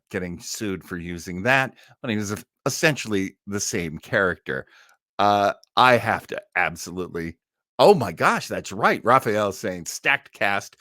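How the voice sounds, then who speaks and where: A man's voice: medium pitch, bright, forceful tone, dry recording, clean studio sound. A middle-aged or older man hosting a live online listening party, chatting casually and enthusiastically like a devoted fan. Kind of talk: casual live chat introducing a radio cast.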